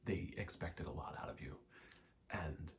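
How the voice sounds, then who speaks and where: Hushed, very low-voiced man rambling softly. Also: lively intonation, creaky, noisy background. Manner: hushed, soft-spoken, halting and reflective. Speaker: ASMR creator. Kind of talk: ASMR ramble, personal storytelling.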